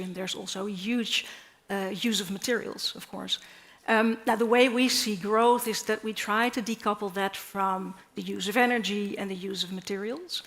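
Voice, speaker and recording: A woman speaking on a panel for her company, with measured, corporate phrasing and frequent pauses. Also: medium pitch, vocal fry, fairly bright tone. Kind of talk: prepared panel remarks. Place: stage at a university event.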